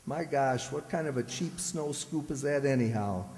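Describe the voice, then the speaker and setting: A man playing a grumbling, hapless but soft-hearted husband in an old-time radio comedy, griping in folksy exclamations. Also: mid-range voice, moderate pace, close to an everyday voice. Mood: weary, grumbling. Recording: reverberant community stage hall.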